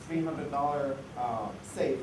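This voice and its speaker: A man giving a chatty conference talk with anecdotes and rhetorical questions, his voice going hoarse. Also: medium pitch, takes it slowly. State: losing his voice. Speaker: conference speaker on information security. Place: lecture hall. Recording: echoey hall, distant mic, noticeable noise.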